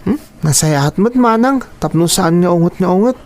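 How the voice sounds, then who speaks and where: A man's voice: sits high, animated, normal speed, a little mellow. A man playing an eager, chatty young schoolboy, the younger brother in an Ilocano radio drama. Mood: thoughtful.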